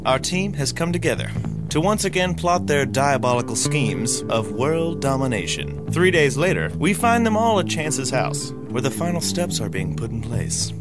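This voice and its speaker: Male radio drama narrator: theatrical scripted delivery, very varied pitch, loud guitar music beneath. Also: big pitch swings, moderate pace.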